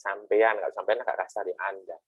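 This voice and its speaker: A middle-aged male lecturer with a lowish, slightly husky voice, recorded close and dry with almost no room sound. He explains in a chatty, conversational way, full of rhetorical asides and everyday comparisons. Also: fairly even intonation, fast, no room sound, lo-fi sound. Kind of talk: classroom lecture.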